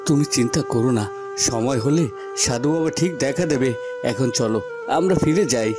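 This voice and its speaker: An earnest young prince, voiced by a man in a cartoon fairy tale. He has a medium-pitched, encouraging voice, with clear music playing behind him. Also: moderate pace, close to an everyday voice. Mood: reassuring. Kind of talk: dramatic cartoon storytelling.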